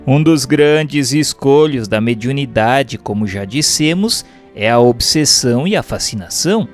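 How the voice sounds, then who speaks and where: A Brazilian man narrates a written text in a mid-range voice. His delivery is formal and measured, like a teacher setting out principles. Soft music plays behind him. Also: mid-range voice, expressive. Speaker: narrator reading a spiritist book aloud. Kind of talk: scripted audiobook narration.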